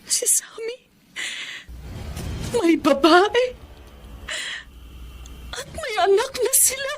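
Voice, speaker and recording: A woman's voice: very high-pitched, lively intonation, drawn-out words, soft background music, exaggerated voice. A Filipino-accented woman playing a professional doctor who is also a wounded wife, in dramatic audio-drama style. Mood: anguished, tearful. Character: professional female doctor, composed but wounded betrayed wife.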